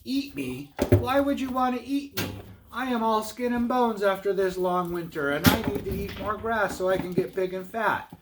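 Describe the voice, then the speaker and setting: A man voicing a calm, persuasive middle billy goat brother for a storybook, in a steady voice. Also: high-pitched, moderate pace, voice pushed up. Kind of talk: storybook read-aloud. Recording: clear background noise.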